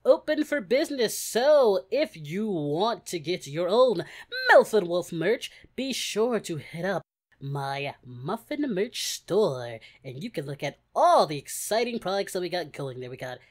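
A woman's voice: lowish voice, sing-song, unhurried, darkish tone. A woman voicing a cheerful young male anime hero, giving exclamatory, slangy, mock-dramatic reaction commentary. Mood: chatty, amused. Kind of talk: playful reaction video commentary.

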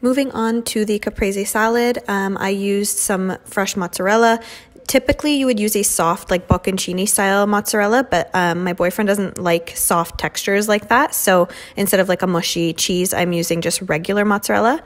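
Young woman, mid-pitched, relaxed chatty vlogger narrating her home cooking. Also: normal speed. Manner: chatty, many "um" and "like" fillers. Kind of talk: casual cooking voice-over. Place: home kitchen.

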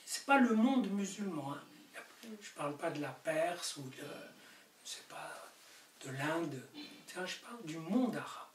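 Older man speaking slowly in a roomy study. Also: a little high. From Morocco. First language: Arabic. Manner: animated, gesturing, rising to emphatic peaks. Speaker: author. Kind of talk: explaining his book to camera. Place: book-lined study indoors. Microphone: camera mic from a distance. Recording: roomy distant camera audio with hiss.

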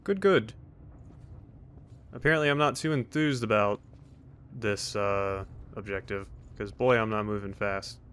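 A man's voice: lowish voice, a little slow, pauses often, fairly bright tone, clean tone. A man giving casual let's play commentary with wry, joking asides.

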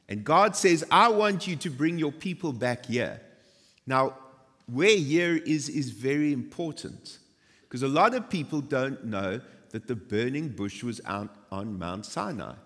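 A man's voice: mid-range voice, fairly bright tone, long reverb tail. A man in his forties preaching a sermon on a church stage, telling stories with self-deprecating asides. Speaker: pastor.

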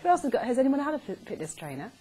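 A woman's voice: high-pitched, big pitch swings, very fast, warm tone. A middle-aged woman hosting an informal live stage interview in a chatty way. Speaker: interviewer, host. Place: small arts centre stage, indoors. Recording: faintly noisy live stage recording.

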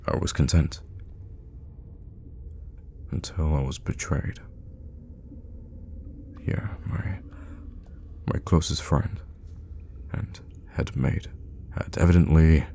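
A man acts out a menacing vampire lord in an intimate ASMR audio roleplay. His voice is extremely low and thick with gravelly fry. He is suave, theatrical and cruelly teasing, over clear background ambience. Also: warm tone, slight background noise, exaggerated voice. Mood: wry, wistful. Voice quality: very deep, gravelly. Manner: unhurried, theatrical, with drops into whispers.

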